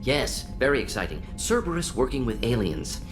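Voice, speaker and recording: An actor voicing an eccentric, pragmatic alien scientist for a video game, musing aloud in clipped staccato fragments with small words dropped. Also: male voice, mid-range voice, sing-song, normal speed, music in the background, close to an everyday voice. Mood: brisk, curious. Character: fast-thinking eccentric alien scientist professor, brisk and pragmatic.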